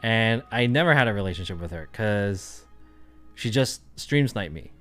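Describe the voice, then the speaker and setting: A man's voice: on the low side, lively intonation, moderate pace, fairly bright tone, soft background music. A man chatting casually on his livestream, rambling and thinking aloud with lots of fillers. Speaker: streamer.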